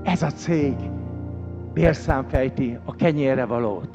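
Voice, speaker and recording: A Hungarian-speaking man giving a motivational seminar talk, speaking in short phrases and pausing for an interpreter. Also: medium pitch, highs cut off, loud music behind the voice. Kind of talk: motivational speech through an interpreter.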